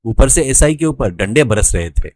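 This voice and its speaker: A man's voice: medium pitch, expressive, normal speed, a little mellow, no echo. A man narrating a scripted story as a storyteller. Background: music.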